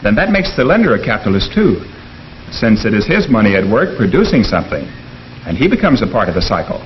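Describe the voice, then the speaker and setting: An actor playing an earnest American professor in a 1950s educational film, speaking in a formal, deliberate, didactic way. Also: male voice, lowish voice, big pitch swings, faint music behind. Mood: earnest, instructive. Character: earnest male economics professor lecturing his class. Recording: dull, noisy 1950s film soundtrack.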